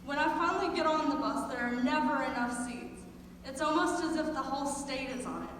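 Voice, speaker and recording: Teen female student performer, high register, comic exaggeration, very reverberant hall recording. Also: animated, fairly quick, fairly bright tone. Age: teenager. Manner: self-deprecating, exaggerating for comic effect. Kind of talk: scripted comic monologue on stage. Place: large echoey indoor performance space. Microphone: distant camera microphone. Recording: echoey hall from far off, noisy.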